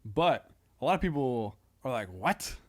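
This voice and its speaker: A man talking casually as a comedian guest on a podcast, halting and self-deprecating. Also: mid-range voice, sing-song.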